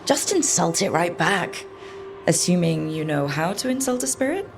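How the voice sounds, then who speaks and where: A woman's voice: lowish voice, sing-song, normal speed, creaky, noisy background. An actress playing an easygoing, wry woman who leads adventurers in a video game, talking casually and dryly. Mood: wry, probing.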